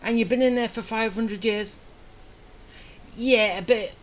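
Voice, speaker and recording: A woman's voice: fairly high pitch, unhurried, slight background noise. A woman streaming a video game, giving casual commentary in short, muttered reactions.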